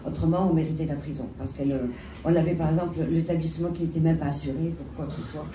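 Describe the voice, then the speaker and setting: A woman with a French accent and a head cold, telling her story on a panel with halting restarts. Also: sits low, steady tone, over a noisy crowd. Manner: halting, many restarts and fillers. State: has a cold. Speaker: bar owner, panelist. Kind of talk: panel talk, recounting her history. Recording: echoey room, distant mic, loud background noise.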